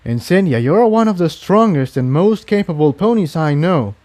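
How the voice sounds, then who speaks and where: A man voicing a kind, steady male pony and loyal friend in a storybook reading, sincere, gentle but firm. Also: fairly high pitch, moderate pace, pitched above the performer's own voice, close to an everyday voice. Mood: warm, consoling.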